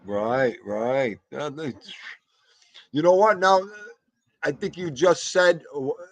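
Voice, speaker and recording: A male podcast host who mostly keeps things moving with quick "right, right" replies and relays questions from viewers. His voice sits a little high and is recorded close and dry, with no room around it. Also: no room sound. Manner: encouraging, short interjections. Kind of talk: casual live podcast chat.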